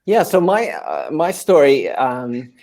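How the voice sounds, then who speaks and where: A man's voice: a little high, huge pitch swings, takes it slowly, creaky, darkish tone. A man from New York City with an American accent, telling his own story conversationally as a panel guest. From New York City, USA. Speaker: panel guest, managing editor. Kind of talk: conversational personal account.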